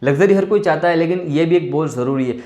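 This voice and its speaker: A man's voice: fairly high pitch, quick pace, a little mellow. A man with an Indian accent hosting an informal tech explainer, leaning on repeated verbal tags and mixing in English technical words. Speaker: tech explainer video host. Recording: roomy, echoey indoor sound.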